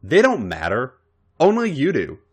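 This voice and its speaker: A man's voice: mid-range voice, huge pitch swings, a little slow, pitched above the performer's own voice. A man voicing an angry but tender wrestling boss in a romance story, with emphatic, intense delivery. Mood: indignant, protective. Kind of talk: dramatic fan fiction reading.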